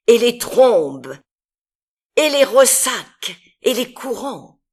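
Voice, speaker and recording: A woman reads literary verse aloud with a mid-range voice. Her delivery is extremely slow and deliberate, leaving long pauses between phrases. The recitation is dramatic and expressive. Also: medium pitch. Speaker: poetry reader.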